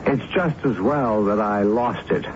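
A man's voice: fairly low pitch, expressive, unhurried. A man playing a dry, evasive, self-righteous drama critic in a 1970s radio drama. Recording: dull, noisy 1970s radio recording.

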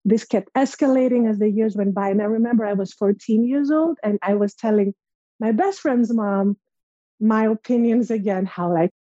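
Woman from Greece, young adult, earnest storytelling, mellow tone, dry lo-fi sound. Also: mid-range voice, normal speed, dry recording. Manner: earnest, reflective, acts out quoted voices. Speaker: guest. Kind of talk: personal story in a podcast interview.

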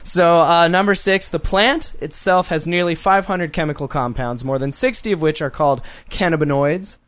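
A man's voice: fairly high pitch, normal speed, a bit bright, no echo. A man co-hosting a casual talk radio show, joking and slipping into mocking put-on voices.

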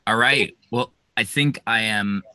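A man hosting a podcast interview, playful and encouraging, with quick affirmations. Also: fairly low pitch, sharp tone, smooth, no room sound. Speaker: podcast host.

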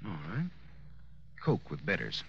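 An American actor playing a wisecracking, tough private detective in old-time radio noir, wry and deadpan. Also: male voice, sits low, unhurried, creaky, breathy edge, exaggerated voice. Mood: wry, bantering. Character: wisecracking, tough male private detective narrating his case. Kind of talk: 1940s radio noir acting and hardboiled narration. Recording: muffled old-time radio recording with music.

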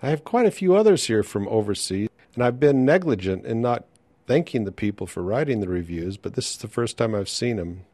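A man's voice: on the low side, animated, brisk, darkish tone, dry recording. A man hosting a podcast, talking casually and chattily to his listeners. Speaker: podcast host and interviewer. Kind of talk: casual podcast talk, reading listener reviews and announcements.

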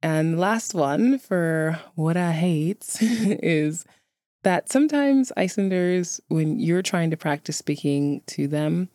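A woman's voice: lowish voice, expressive, slow, dark tone, no room sound, clean studio sound. A woman who is a native English speaker, chatting casually to viewers as a vlogger in a wry, candid, self-deprecating way.

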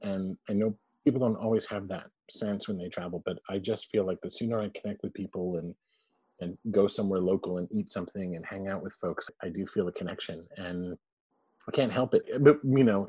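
A man's voice: on the low side, a little mellow, breathy edge, no echo. A man in his forties, a guest telling family stories in a chatty, laughing way. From born in Canada, raised abroad. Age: 40s. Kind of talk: casual storytelling interview.

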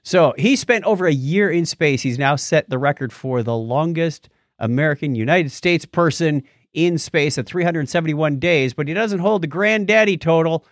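A male podcast host with a fairly high voice that moves around a lot in pitch. He talks through news stories casually, with joking asides, in a dry, close-sounding recording. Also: lively intonation, normal speed, no room sound. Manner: jokey, conversational. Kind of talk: casual news banter and explaining.

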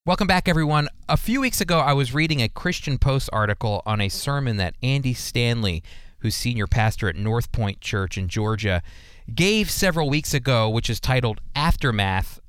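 An American man teaches the Bible as a radio host. His voice is clear and smooth, recorded close and dry in a studio. He speaks conversationally but earnestly, leaning on key words like a preacher. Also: medium pitch, lively intonation, moderate pace, fairly bright tone, dry recording. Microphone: close studio microphone.

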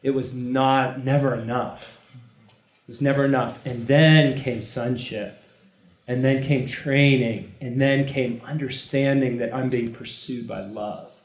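A man's voice: mid-range voice, fairly even intonation, unhurried. Man preaching informally in an echoey room. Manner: conversational, emphatic, repeats phrases for weight. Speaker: preacher leading a teaching session. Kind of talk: informal sermon to a live group. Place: room, speaking live to a gathering. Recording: echoey room with background noise.

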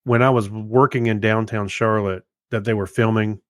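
A man's voice: on the low side, steady tone, normal speed, no room sound, clean studio sound. A man co-hosting a podcast in casual, conversational chat about movies. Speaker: podcast co-host.

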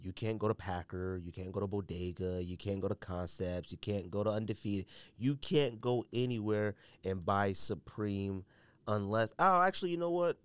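A man's voice: fairly low pitch, moderate pace, clear, resonant tone, no echo. A man hosting a casual solo podcast, thinking aloud and often qualifying his own points as he goes. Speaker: sneaker podcast host.

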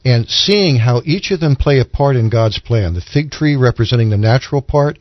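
A man on a discussion panel explaining religious ideas in a deliberate, teacherly way. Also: mid-range voice, animated, dry recording. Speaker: panelist on a Bible discussion show. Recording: dull, lo-fi audio.

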